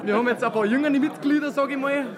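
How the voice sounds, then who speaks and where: Quick-talking Upper Bavarian man in a busy inn. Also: extremely high pitch. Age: 30s. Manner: chatty, rambling, laughs often. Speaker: interviewee, regulars' table member. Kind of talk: casual interview chat. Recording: crowd chatter in a busy inn.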